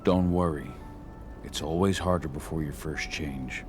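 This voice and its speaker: An actor voicing a gruff werewolf warrior and steady, protective father in a video game, in a calm, measured, gravelly voice. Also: a bass voice, music in the background. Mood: steady, reassuring. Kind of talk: video game cutscene acting.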